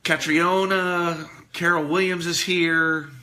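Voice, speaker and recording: A man presenting a live radio show, talking casually and a bit haltingly through history notes. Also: on the high side, flat delivery, sharp tone, slight background noise.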